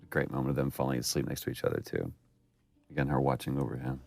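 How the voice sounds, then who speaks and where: A man, an actor, chatting thoughtfully about his game scenes in a relaxed commentary. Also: rumbling low, quick pace, gravelly, soft background music. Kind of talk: casual commentary chat.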